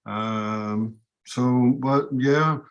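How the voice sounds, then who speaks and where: Dutch guy on a call, lots of ums, mid pitch. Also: extremely slow, highs cut off. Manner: hesitant, many fillers. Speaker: guest. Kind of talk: casual video call chat.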